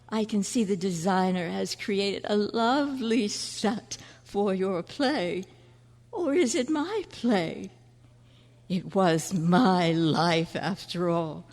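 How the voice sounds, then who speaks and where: A woman playing the ghost of a flamboyant 105-year-old artist in a stage radio play, theatrical and intimate, recorded in an echoey hall. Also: medium pitch. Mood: wistful, grand. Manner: theatrical, drawn-out, addressing someone intimately. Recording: echoey hall, long reverb.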